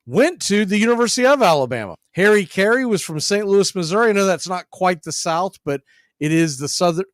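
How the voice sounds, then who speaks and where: A male sports radio host recorded close and very dry. His voice is high for a man, and he speaks in emphatic, deliberate phrases, like a play-by-play announcer presenting a show. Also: dry recording. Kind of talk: radio promo and commentary.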